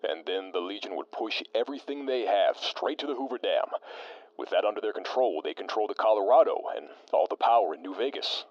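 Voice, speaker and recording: A man's voice: fairly low pitch, animated, brisk, no echo, lo-fi sound, close to an everyday voice. A man playing a stiff, formal tactician. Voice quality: formal, stilted diction. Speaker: radio co-host.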